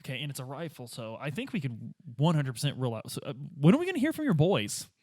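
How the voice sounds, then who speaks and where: A man's voice: mid-range voice, very animated, quick pace, darkish tone, no room sound, clean studio sound. A man improvising as a detective in a tabletop game, casual, hesitant and slangy. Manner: hesitant, slangy, laid-back. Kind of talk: casual improvised role-play.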